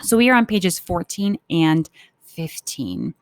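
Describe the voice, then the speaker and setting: A woman's voice: fairly low pitch, very animated, slow pace, no echo, clean studio sound. A woman teacher reading a story aloud for children and explaining it informally as she goes. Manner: informal, explanatory, acts out thoughts.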